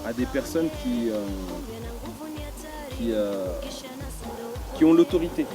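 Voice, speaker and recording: A man speaks in a medium-pitched voice as an interview guest. He is casual and agreeable, feeling his way through sentences and repeating words as he goes. Music is clearly audible behind him. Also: lively intonation, slow. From Congo. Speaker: fashion brand representative being interviewed.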